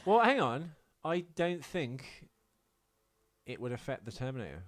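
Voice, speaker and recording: A man's voice: mid-range voice, sing-song, unhurried, dry recording, studio quality. A man co-hosting a casual film podcast with crude, joking banter. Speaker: podcast co-host.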